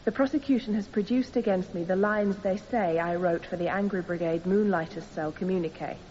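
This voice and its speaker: An actress playing an earnest, defiant young woman on trial, speaking deliberately in a radio drama courtroom. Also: on the low side, dark tone, natural voice. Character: earnest young female anarchist defendant defending herself. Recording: muffled, hissy, long hall reverb.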